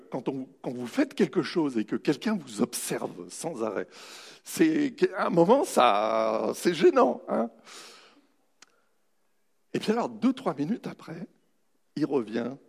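A man giving a humorous, anecdotal motivational talk, telling his story with dramatic pauses. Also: medium pitch, vocal fry, husky. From raised bilingual French-German, in a French-German family. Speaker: motivational speaker. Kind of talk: anecdotal public talk to an audience.

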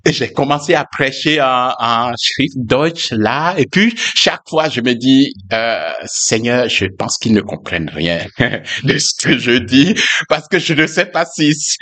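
A man, a pastor, sharing a personal testimony in a reflective, hesitant way with frequent pauses and fillers. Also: mid-range voice, animated, fairly bright tone.

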